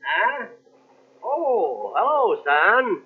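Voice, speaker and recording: An actor playing a friendly, trusting old small-town train passenger in an old-time radio drama, chatty and agreeable in a folksy way. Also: male voice, high-pitched, sing-song, drawn-out words, husky, exaggerated voice. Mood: friendly, curious. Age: older. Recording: tinny old radio recording from cassette.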